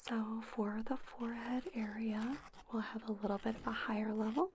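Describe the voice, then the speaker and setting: A woman doing a whispered ASMR roleplay as a spa owner, gently explaining each step to a trainee. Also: a little high, slight background noise, poor audio. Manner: gentle, instructional, step-by-step narration.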